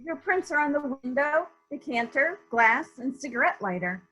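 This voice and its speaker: A woman playing a brisk, probing investigator who questions witnesses deliberately in a murder mystery reading. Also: high-pitched, lively intonation, bright, forceful tone, low-quality recording. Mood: pointed, businesslike.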